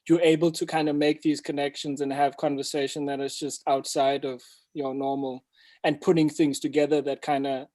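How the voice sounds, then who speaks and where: Mellow man, reflective and halting. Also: on the high side. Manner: reflective, halting with repeated words. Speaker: host and storyteller. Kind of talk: casual video-call chat. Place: indoors on a video call.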